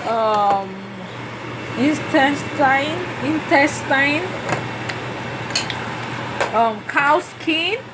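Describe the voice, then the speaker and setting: A Nigerian woman whose first language is Yoruba, talking through a cooking tutorial in a halting way with many fillers. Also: sits high, expressive. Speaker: cooking vlogger. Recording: background noise.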